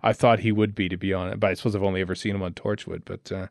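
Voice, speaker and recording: A man with a lowish voice talks casually as a podcast co-host. He rambles and thinks aloud through his commentary, recorded close and dry without room sound. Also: level tone, very fast, creaky, no echo. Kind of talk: casual film commentary chat.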